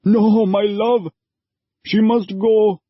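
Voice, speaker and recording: A man voicing a frantic father who orders and pleads in a forceful, raised voice. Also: sits high, level tone, drawn-out words, no room sound, voice pushed up, heavily acted. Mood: pleading. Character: desperate father of a teenage girl, stern. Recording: dull lo-fi audio.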